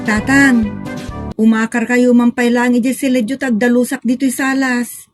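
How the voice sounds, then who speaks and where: A woman's voice: on the high side, level tone, unhurried, over music, lightly acted. A woman acting an exasperated, complaining Ilocano family member in a comedic audio drama, with an Ilocano accent. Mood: scolding.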